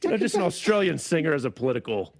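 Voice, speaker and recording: A man co-hosting a casual podcast, trading jokey, animated banter. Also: fairly high pitch, rapid-fire, gravelly, faint music behind. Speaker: podcast co-host.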